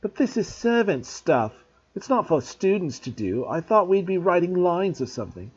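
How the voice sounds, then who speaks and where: A man voicing an arrogant spoiled schoolboy, high and whining with a nervous edge in a husky tone, on a dull lo-fi recording. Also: big pitch swings, moderate pace, a little mellow, full character voice.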